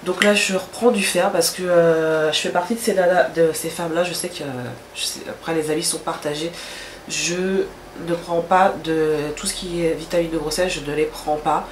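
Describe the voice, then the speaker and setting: A woman's voice: on the low side, fairly even intonation, moderate pace, echoey room, a little hiss or hum. A woman with a stuffy, nasal voice from a cold, chatting casually to camera in a rambling way with many hesitations. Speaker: vlogger.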